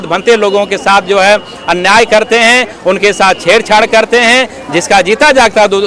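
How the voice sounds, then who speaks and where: A man with a high-pitched voice gives an earnest, formal street interview. He argues his case in long explanatory answers sprinkled with repeated fillers, recorded outdoors. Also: fairly bright tone. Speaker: interviewee, Buddhist activist.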